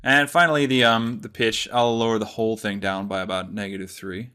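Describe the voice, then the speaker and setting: A man presenting a casual software tutorial, explaining step by step in an informal way. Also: fairly low pitch, steady tone, brisk, clean tone.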